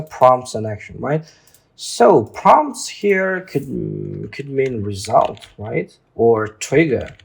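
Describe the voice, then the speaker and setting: A man with a mid-pitched voice gives an informal lesson as a tutor. He speaks slowly and haltingly, feeling for words and often adding a quick check for agreement. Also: gravelly, a little mellow. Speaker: English exam tutor. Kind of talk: informal lesson, walking through a reading test.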